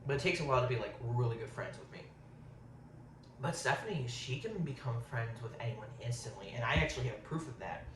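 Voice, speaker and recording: A man's voice: mid-range voice, expressive, brisk. A man in his thirties chatting casually while eating on camera, rambling and saying um a lot. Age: 30s. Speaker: vlogger. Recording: roomy, distant, faint hiss.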